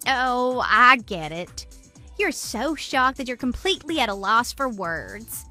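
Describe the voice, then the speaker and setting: A woman acts out a gloating man-stealer in a radio drama. Her voice is bright and sharp, swooping with exaggerated, boastful taunts over a music bed. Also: on the high side, big pitch swings, measured pace, exaggerated voice. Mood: taunting. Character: smug, gloating mistress who steals other women's men. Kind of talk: scripted radio drama dialogue.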